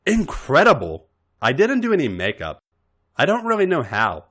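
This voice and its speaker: A man voicing a sweet, wide-eyed young woman in a story, with breathy exclamations and a teasing, stern edge. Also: a little high, wildly swooping pitch, moderate pace, fairly bright tone, voice pushed up, heavily acted. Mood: excited, bright.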